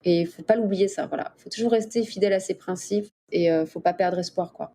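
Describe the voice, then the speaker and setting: A middle-aged woman from the Paris region answering interview questions in a casual, explanatory way with hesitant pauses. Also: on the low side, warm tone. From Paris region, France. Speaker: interview guest, dolphin researcher. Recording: clear background ambient noise.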